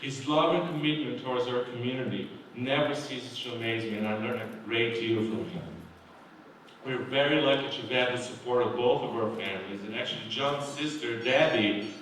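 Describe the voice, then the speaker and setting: A man's voice: medium pitch, fairly bright tone, recorded from a distance, slight background noise. Man giving a speech in an echoey hall. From Brazil. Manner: earnest, heartfelt, measured. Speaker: award honoree. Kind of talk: acceptance speech. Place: large hall. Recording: very echoey hall, mic from afar.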